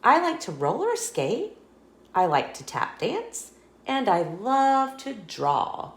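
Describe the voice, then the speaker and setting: A woman's voice: on the high side, sing-song, takes it slowly, echoey room, voice pushed up, exaggerated voice. A woman voicing a small, lonely but hopeful storybook squirrel in a childlike, plaintive way.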